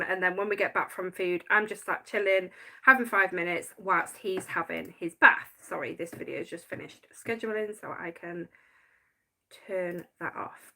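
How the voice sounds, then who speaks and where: Woman, casual live-stream chat, bright forceful tone, laughs a lot. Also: medium pitch. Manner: chatty, laughs often, reads from notes. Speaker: paranormal channel host.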